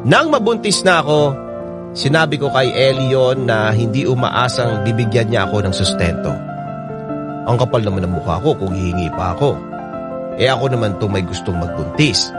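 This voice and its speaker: A man's voice: mid-range voice, expressive, normal speed, close to an everyday voice. A man with a Filipino accent narrating a radio drama in the first person, confiding expressively in the listeners. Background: prominent music.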